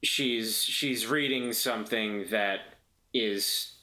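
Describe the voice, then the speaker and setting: A man improvising scene description for a tabletop game in casual, halting talk, repeating words as he thinks. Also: lowish voice, pushed and bright. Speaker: player on an actual play podcast.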